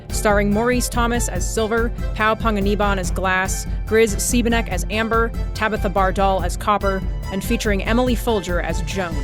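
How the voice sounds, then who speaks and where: A woman announcer giving a scripted read of podcast closing credits. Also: mid-range voice. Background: music.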